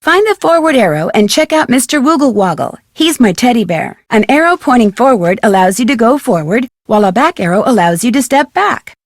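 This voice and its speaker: A woman voicing a bright, inquisitive young American amateur detective in a video game, speaking in a clear, articulate, friendly way. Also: fairly high pitch, very animated, a little fast, hardly any pauses, no room sound, clean studio sound. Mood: upbeat, instructive. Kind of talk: video game voice acting, scripted narration.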